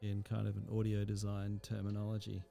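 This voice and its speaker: A man teaching a software tutorial, explaining step by step in an unhurried way and thinking aloud with fillers. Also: on the low side, completely flat delivery, creaky. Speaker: instructor. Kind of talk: screen-recorded software tutorial.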